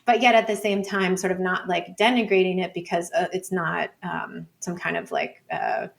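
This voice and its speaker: A woman's voice: fairly low pitch, fairly even intonation, moderate pace, creaky. A woman guest on an interview show, a professor explaining her field thoughtfully in loose, conversational phrasing. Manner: thoughtful, hedging with "like" and "sort of". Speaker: guest, professor of urban studies and planning.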